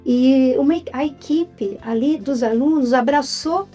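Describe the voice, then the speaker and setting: A woman's voice: high voice, measured pace, warm tone, soft background music. A Brazilian-accented woman, a guest in a casual interview, telling her story in an upbeat way. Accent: Brazilian Portuguese. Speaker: guest, writer and film producer.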